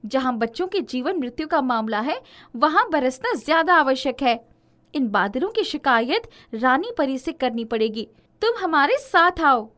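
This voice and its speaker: A woman's voice: sits high, sing-song, normal speed, fairly bright tone. A woman voicing a resolute, righteous fairy in a children's storybook tale, firm and scolding in manner. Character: bossy, righteous female fairy, concerned and resolute. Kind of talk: animated storybook character acting.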